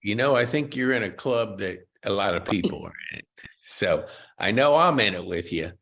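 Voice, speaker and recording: A man's voice: lowish voice, lively intonation, moderate pace, fairly bright tone. A man hosting a podcast interview, chatting in an enthusiastic, folksy way with golf banter. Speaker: podcast host.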